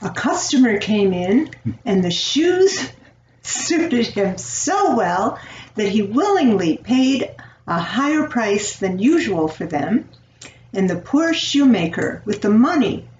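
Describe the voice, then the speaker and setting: Slow-reading older woman in a roomy recording. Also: medium pitch, very animated, a little mellow. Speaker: storyteller. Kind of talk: reading a children's fairy tale aloud. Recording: roomy, distant, dull home recording, faint noise.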